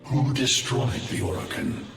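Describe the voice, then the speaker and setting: A man's voice: unhurried, close to an everyday voice. A man playing a menacing ancient sentient machine in a video game, declaiming in a grand, commanding way. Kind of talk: dramatic video game cutscene acting. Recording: echoing voice under very loud music.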